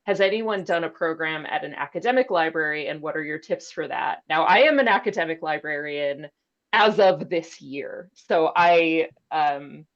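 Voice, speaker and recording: A woman with a distinctly low voice moderates a panel discussion. She is informal and slightly hesitant, chatting conversationally between questions. The recording is dull and somewhat muffled, like an online call. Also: moderate pace. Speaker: panel moderator and academic librarian.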